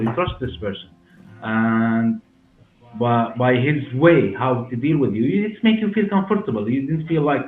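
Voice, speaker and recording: A man in his forties with a Syrian Arabic accent, answering interview questions in halting, repetitive non-native English. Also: mid-range voice. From Damascus, Syria. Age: 40s. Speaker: hair clinic director and interview guest. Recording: roomy, muffled, narrow sound with music behind.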